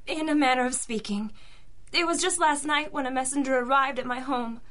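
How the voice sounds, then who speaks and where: Actress as nervous noir client, genteel wealthy wife, higher-pitched, hesitant, formal radio-play delivery. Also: female voice, brisk, a bit bright. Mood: anxious. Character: anxious, genteel wealthy wife hiring detectives. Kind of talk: old-time radio drama acting.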